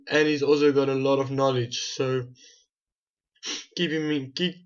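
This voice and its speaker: A dull, lo-fi recording of a South African man with an Afrikaans mother tongue. His mid-pitched voice stays flat and even as he talks casually through a game, hesitating and repeating himself as he goes. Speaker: gaming video host. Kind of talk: casual gameplay commentary. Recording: dull, muffled lo-fi home recording.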